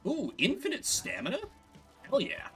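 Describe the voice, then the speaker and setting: A man giving casual, excitable commentary while playing a video game. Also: high voice, normal speed, soft background music. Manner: animated, excitable.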